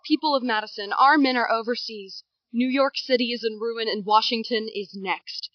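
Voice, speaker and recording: A woman's voice: on the high side, moderate pace, no echo, natural voice. A woman playing an earnest leader giving a formal, imploring wartime public address. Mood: grave, imploring. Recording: dull, dry, band-limited broadcast sound.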